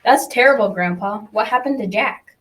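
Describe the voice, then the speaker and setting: A woman's voice: on the high side, normal speed, natural voice. A young female student playing a curious grandchild in an amateur school radio drama. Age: child or teen.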